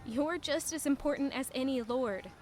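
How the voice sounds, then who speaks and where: A woman's voice: sits high, moderate pace, a little mellow, slight background noise, close to an everyday voice. An actress playing a kind, earnest royal woman in a reflective audio drama. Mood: kind, reassuring.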